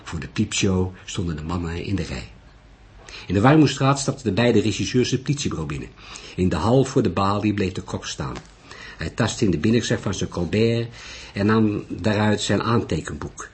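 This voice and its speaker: A man's voice: on the low side, expressive, normal speed, darkish tone, breathy edge. A man reading a crime novel as an audiobook narrator, in scripted storytelling style. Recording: faint background noise.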